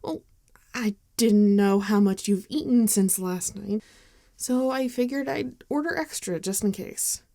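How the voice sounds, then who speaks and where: Woman acting a soft, slow-spoken apologetic vampire friend. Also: mid-range voice, no echo, clean studio sound, close to an everyday voice. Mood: caring, reassuring. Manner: hesitant, gentle, halting with soft sighs. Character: apologetic, caring young female vampire, a close friend. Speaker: ASMR voice actor. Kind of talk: ASMR roleplay acting, intimate and conversational.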